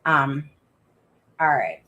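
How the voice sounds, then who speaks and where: A woman's voice: deep voice, big pitch swings, extremely slow, bright, forceful tone. A middle-aged woman hosting a casual live stream for parents, reading out viewer comments in a frank, talkative way with lots of fillers and restarts. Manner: calls herself a roaring mama advocate. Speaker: host of a live stream for special education parents.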